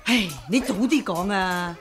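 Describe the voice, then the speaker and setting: A woman's voice: medium pitch, a little slow, natural voice. An actress playing a feisty, impatient older female martial arts master in a radio drama, blunt and brusque, with a Hong Kong Cantonese accent. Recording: radio drama with music behind.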